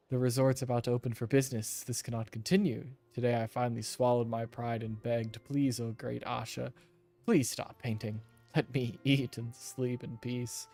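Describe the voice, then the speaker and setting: A man's voice: medium pitch, gravelly, mellow. A man reading game text aloud and commenting casually as he plays. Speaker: game streamer.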